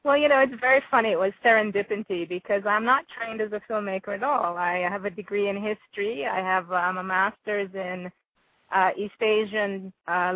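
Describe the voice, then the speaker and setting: A woman's voice: medium pitch, dry recording. Jamaican woman, dull close-up sound. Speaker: interview guest, filmmaker. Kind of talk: thoughtful conversational interview answers.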